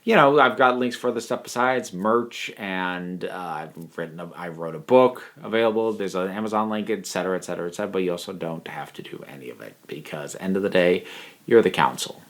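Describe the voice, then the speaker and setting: A man hosting a casual video review, talking to viewers in a halting, thinking-aloud way with emphatic pauses. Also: low-pitched, fairly bright tone. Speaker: video reviewer and channel host.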